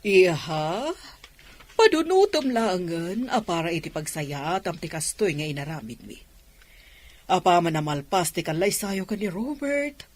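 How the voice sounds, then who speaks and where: A woman's voice: fairly low pitch, very animated, a little slow. A woman acting a firm, persuasive mother of a grown daughter in an Ilocano radio drama.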